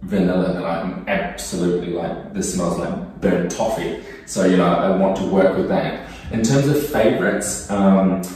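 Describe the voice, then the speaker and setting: A man's voice: deep voice, moderate pace, few pauses, darkish tone. A young man who grew up speaking Cantonese, talking thoughtfully and with enthusiasm as a perfume brand co-founder in an office interview. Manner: thoughtful, enthusiastic, some restarts. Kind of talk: conversational interview. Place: office room. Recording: echoey room, distant mic.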